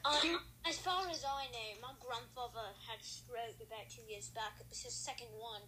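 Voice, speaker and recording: High-voiced Australian woman, rambling. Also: normal speed, pushed and bright, a little hiss or hum, poor audio. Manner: rambling, hesitant, lots of fillers. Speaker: participant on a group video call. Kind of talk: casual chat.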